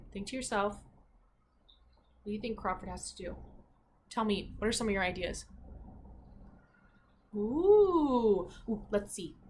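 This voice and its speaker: Woman teacher, storybook read-aloud: slow delivery, raised pitch, expressive, with simple questions to listeners. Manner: expressive reading, pausing to ask simple questions.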